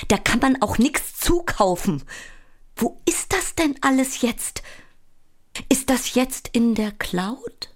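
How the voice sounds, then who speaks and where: A woman playing a sleepless, frantic stage actress on the verge of breakdown, in an overwrought theatrical monologue. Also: mid-range voice. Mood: overwhelmed, frantic. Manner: overwrought, dramatic, deliberate delivery. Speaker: actress in a solo stage play. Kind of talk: theatrical monologue, shifting between declaimed recitation and muttering. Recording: loud music under the voice. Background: loud music.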